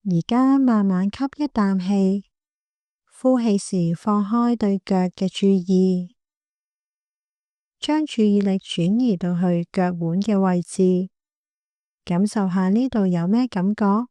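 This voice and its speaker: A woman with a Hong Kong Cantonese accent guiding a meditation in a gentle, calm, unhurried manner. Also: mid-range voice, no echo. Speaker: meditation guide, clinical psychologist. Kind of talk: guided body scan meditation.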